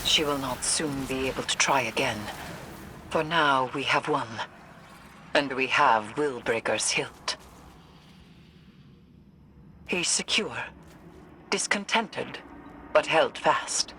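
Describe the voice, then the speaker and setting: An actress playing a stern, solemn occult warrior-sage in a video game, speaking in a grave, theatrical, commanding way. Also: female voice, rumbling low, slow, pushed and bright, slight background noise, full character voice. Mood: grave, intense. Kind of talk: scripted video game acting. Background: game music.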